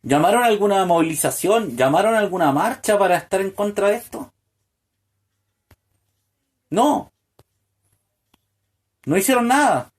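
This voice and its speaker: A man's voice: high-pitched, lively intonation, a little slow, long pauses. A man delivering a solo political podcast monologue, full of hesitant fillers and restarts, building to pointed rhetorical questions. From Chile. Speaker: podcast host.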